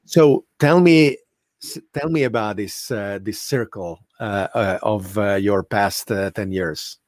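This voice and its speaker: A man hosts a live interview show with a lowish voice. He talks very slowly, stopping often and filling gaps with uh. The sound is dry and close, with no room around it. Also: no room sound. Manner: slow and halting, many uh fillers. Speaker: podcast host.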